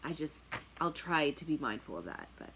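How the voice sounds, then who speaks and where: A woman talking casually to camera as a tarot reader, chatty and rambling with lots of fillers, with a loud, projecting voice. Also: low-pitched, very animated, normal speed, a little hiss or hum, rough recording. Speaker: tarot reader and remote viewer. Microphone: noise-canceling earphones on.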